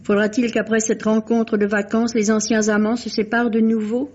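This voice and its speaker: A woman's voice: a little high, little pitch movement, normal speed, a little mellow. A woman reading a scripted literary book review on the radio in formal, carefully phrased language. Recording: muffled lo-fi vintage radio recording.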